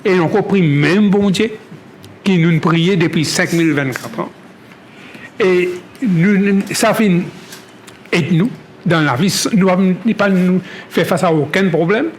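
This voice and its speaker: Man, slightly raised pitch, spontaneous halting delivery with frequent repetitions, faint noise behind. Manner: halting, repeats words and restarts often. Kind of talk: spontaneous testimony in creole-tinged French.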